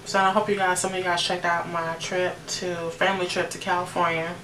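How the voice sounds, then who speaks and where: A woman's voice: fairly low pitch, little pitch movement, brisk, fairly bright tone. An American woman in her late thirties chatting casually to viewers as she narrates a makeup tutorial in an informal way. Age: late 30s. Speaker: beauty vlogger. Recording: roomy, distant mic with noticeable background noise.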